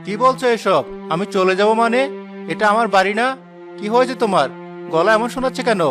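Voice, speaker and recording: Man playing a high-voiced, hot-tempered village husband. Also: fairly quick, over music, close to an everyday voice. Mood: impatient. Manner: exclamatory, questioning. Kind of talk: Bengali cartoon moral drama voice acting.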